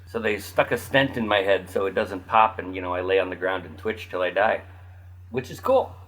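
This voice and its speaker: A man's voice: low-pitched, lively intonation, moderate pace, fairly bright tone, rough recording. A man chatting casually as a podcast guest in a dry, matter-of-fact way. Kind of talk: casual chat.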